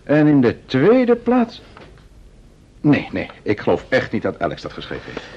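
An actor playing a composed, courteous, shrewd detective in an old radio play, speaking in a measured, polite manner. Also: male voice, medium pitch, huge pitch swings, warm tone, a little hiss or hum. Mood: reasoning. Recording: muffled vintage radio recording.

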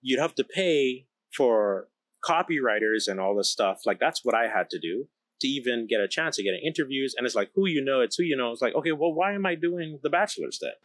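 A man's voice: mid-range voice, animated, a little fast, no room sound. Emphatic man from the Bahamas, dry close sound. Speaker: interview guest, company founder and engineer. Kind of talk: candid conversational storytelling. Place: large indoor electronics production floor, seated. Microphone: close podcast microphone on boom arm.

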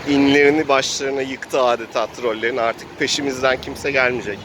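A man's voice: mid-range voice, fairly even intonation, fairly quick, noisy background. A man casually improvising narration as he plays a role-playing game.

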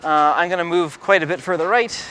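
A man's voice: on the high side, brisk, sharp tone, slight background noise. A man in his thirties gives a casual bowling ball review in a large bowling alley, muttering play-by-play between throws. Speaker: pro shop ball reviewer.

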